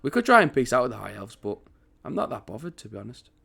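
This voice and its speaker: A man with a croaky early-morning voice talks casually over a strategy game. He rambles and corrects himself as he goes, in a middling pitch at a desk mic in his home setup. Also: very animated, fast talker, pushed and bright. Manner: chatty, rambling, self-correcting. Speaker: gaming channel host.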